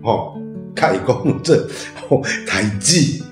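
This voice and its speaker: A man's voice: medium pitch, animated, takes it slowly. A man from southern Taiwan with a Taiwanese accent giving informal commentary on a story, often ending phrases with tag particles. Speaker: reading club member sharing thoughts. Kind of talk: informal spoken book commentary. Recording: roomy sound, faint music.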